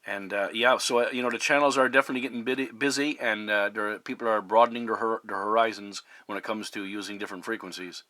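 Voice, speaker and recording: A man talks in a low, forceful voice, close and dry. He sounds like a radio hobbyist in casual conversation, measured and polite. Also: steady tone, no echo. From Newfoundland, Canada. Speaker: CB radio operator.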